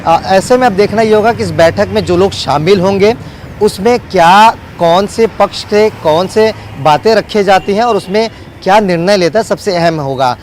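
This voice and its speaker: Male field reporter live on air, pretty high voice, wordy, faint traffic behind him. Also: normal speed. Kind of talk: unscripted live news report.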